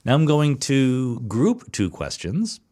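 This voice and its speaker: A middle-aged man hosting a podcast, answering listener questions in a relaxed, thoughtful monologue with frequent fillers and restarts. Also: mid-range voice, slow. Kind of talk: casual solo monologue.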